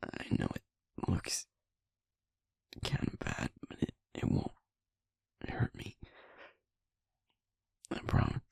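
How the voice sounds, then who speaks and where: A young man playing a sweet, wounded, guilt-ridden werewolf boyfriend in an intimate audio roleplay, speaking in a husky, halting, emotional near-whisper. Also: no echo, lightly acted. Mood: soft, vulnerable. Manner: halting, emotional, softly pleading.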